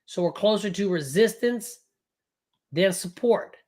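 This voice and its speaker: Brash, loud-voiced man coaching traders. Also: high-pitched. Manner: loud, brash and profane, says it's a loud voice; casual, fast and rambling, with frequent "right?". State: recovering from a cold, voice not fully back. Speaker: day trading coach. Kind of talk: live online trading class.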